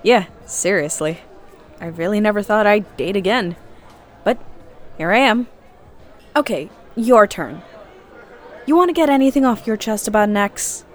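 A woman's voice: medium pitch, animated, normal speed, long pauses, a faint crowd, natural voice. An actress playing a talkative, candid, newly single woman on a first date in a naturalistic audio drama. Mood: rueful, confiding.

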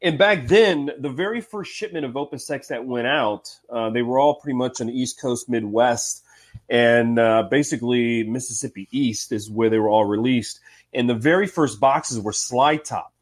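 A man from Ohio with a cold and a slightly raspy voice, a guest telling stories in a relaxed, chatty way with many fillers. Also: mid-range voice. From Cincinnati, Ohio, US. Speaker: cigar industry guest. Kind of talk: casual podcast interview.

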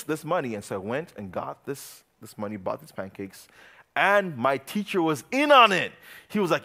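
Animated young man preaching to youth. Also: a little high. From Uganda. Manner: animated and joking, swinging from loud to quiet. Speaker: youth pastor. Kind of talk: sermon to a youth group.

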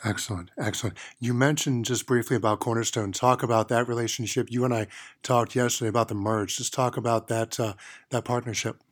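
A man's voice: medium pitch, steady tone, fairly quick. A man hosting a radio interview, asking questions and giving brief affirming interjections. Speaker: radio host.

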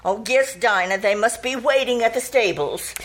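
A woman playing a genteel, gently flustered middle-aged society mother in an old-time radio comedy style. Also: fairly high pitch, moderate pace, lightly acted. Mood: patient, chiding.

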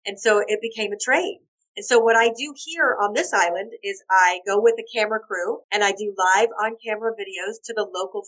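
Hoarse woman telling lively stories. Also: medium pitch, low-quality recording. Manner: expressive, laughs often, acts out quotes. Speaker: guest. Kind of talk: animated storytelling.

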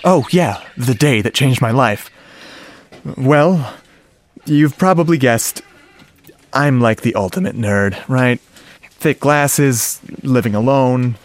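A man playing a shy, earnest, nerdy sound-effects man, telling his story conversationally and haltingly in a radio drama, with a wistful manner. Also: mid-range voice, natural voice. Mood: wistful, smitten. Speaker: narrator and lead character. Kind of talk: first-person radio drama monologue. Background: music and sound effects.

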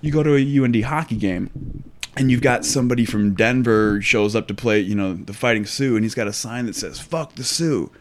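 A man's voice: medium pitch, fairly quick. An American man in a casual, opinionated discussion, speaking emphatically and often checking for agreement. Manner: emphatic, conversational, often asks for agreement with "right?".

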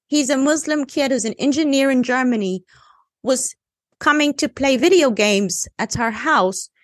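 A woman's voice: high-pitched, a bit bright, dry recording. A woman with an Egyptian Arabic accent, a native Arabic speaker, speaking as an analyst on a discussion panel in an emphatic, insistent way. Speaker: guest analyst.

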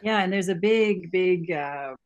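A woman's voice: fairly low pitch, unhurried, dull audio. A woman from France talking earnestly in reflective, casual conversation as a podcast guest, checking for agreement as she goes.

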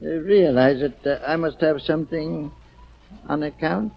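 Gullible house seller, formal, flustered, slightly high voice, dull 1940s radio recording. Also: male voice, normal speed, creaky, breathy edge. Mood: hesitant. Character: indignant but gullible gentleman selling his house.

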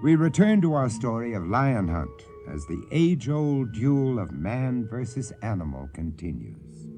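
A man's voice: mid-range voice, big pitch swings, unhurried, music in the background. A man hosting a radio drama with a theatrical scripted introduction. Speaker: radio show host.

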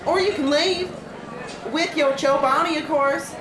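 A woman's voice: sits high, unhurried. A young woman playing a weary, rule-bound waitress in a comedic radio play, casual and patronising. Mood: matter-of-fact. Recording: roomy echo with clear background noise.

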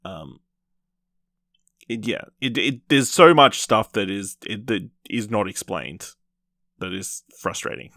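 A man hosting a podcast, casually reviewing films in a rambling, halting way with repeated false starts. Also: fairly low pitch, animated, a bit bright, no room sound, clean studio sound. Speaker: podcast host. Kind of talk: casual film review chat.

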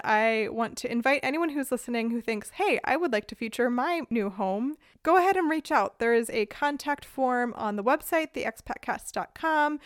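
A woman's voice: fairly high pitch, no room sound, studio quality. A woman hosting a podcast in an upbeat, chatty and enthusiastic way. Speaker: podcast host.

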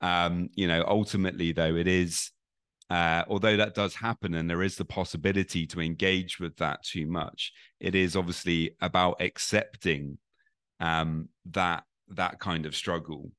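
Man, podcast host, very deep voice, dry close sound, halting, thoughtful. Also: fairly even intonation, fairly bright tone, smooth, no echo. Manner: halting, thoughtful, with many restarts. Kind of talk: interview questions.

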